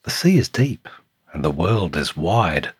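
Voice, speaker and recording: Man voicing a husky, yearning little sea snail. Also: low-pitched, sing-song, a little slow, full character voice. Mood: wistful longing. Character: tiny female sea snail, yearning and adventurous, from a storybook.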